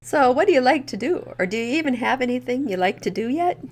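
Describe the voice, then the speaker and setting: A woman's voice: high voice, animated, fast. A woman playing a curious, clever young masked heroine in an amateur audio drama.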